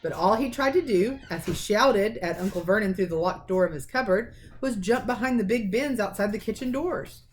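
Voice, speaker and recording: A woman reading a children's novel aloud as a teacher would, in an expressive storytelling manner. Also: mid-range voice, moderate pace, few pauses, a little hiss or hum. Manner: animated, acts out dialogue.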